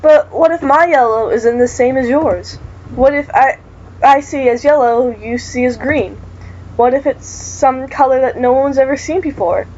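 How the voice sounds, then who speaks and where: A woman's voice: high-pitched, moderate pace, a little mellow, lo-fi sound. A woman reading the lines of an anxious, self-doubting colorblind teenage boy in a horror game. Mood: worried.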